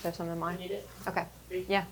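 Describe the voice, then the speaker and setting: A woman's voice: on the low side, level tone, a little fast, fairly bright tone. A woman acting out a sales call, patiently explaining things and reassuring the client. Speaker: insurance agency manager. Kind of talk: sales role-play.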